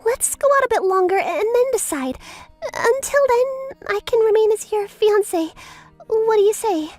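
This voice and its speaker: A woman's voice: extremely high pitch, expressive, moderate pace, a little mellow, dry recording, soft background music. A woman playing a haughty, scheming schoolteacher in an anime-style comic dub, theatrical, flustered and pleading. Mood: desperate pleading. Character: haughty, scheming female schoolteacher desperate for a rich fiancé.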